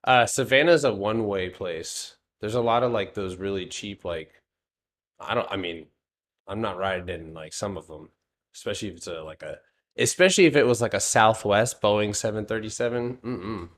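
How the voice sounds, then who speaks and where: A man's voice: fairly low pitch, moderate pace, fairly bright tone. A man in his late twenties hosting a live stock trading stream, chatting casually and rambling with jokes that trail off into mumbles. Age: 20s.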